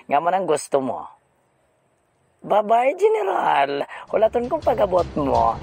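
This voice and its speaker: A man's voice: sits high, wildly swooping pitch, a little slow, creaky, exaggerated voice. A man playing a flamboyant, scheming, theatrical madam who cackles and teases in an audio drama. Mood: teasing, gloating. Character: flamboyant scheming villainess madam arranging fights.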